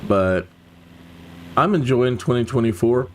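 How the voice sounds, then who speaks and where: A man hosts a flight simulator stream, talking his thoughts aloud as he flies. His voice sits at an ordinary middle pitch, with a faint steady hum underneath. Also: measured pace. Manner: musing, speaks thoughts aloud while flying. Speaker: livestream host. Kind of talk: casual chat while flying a sim.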